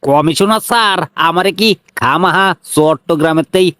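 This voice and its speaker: Man playing a brash, high-pitched bullying police inspector. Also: normal speed, fairly bright tone, clear, resonant tone, no echo, lightly acted. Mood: smug, determined. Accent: Bangladeshi regional Bengali. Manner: blunt, taunting, threatening. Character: brash, bullying male police inspector, tough and self-righteous. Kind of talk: comic cartoon dialogue.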